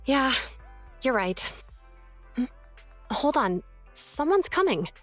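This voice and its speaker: A woman's voice: high-pitched, very animated, slow pace, faint music behind, heavily acted. A woman playing an earnest, friendly young public security officer in a video game, speaking sincerely. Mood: thoughtful, doubtful. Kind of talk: video game character acting.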